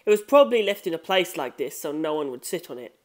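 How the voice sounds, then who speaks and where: A narrator reading a scripted story in an expressive, measured storytelling manner. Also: mid-range voice. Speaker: audiobook narrator.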